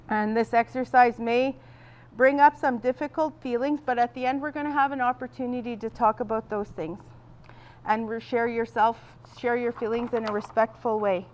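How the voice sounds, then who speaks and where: A woman's voice: on the high side, steady tone, normal speed. Woman facilitator with a big projecting voice. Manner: loud projecting voice without a microphone. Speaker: workshop facilitator. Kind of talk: guiding a group exercise. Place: large event room.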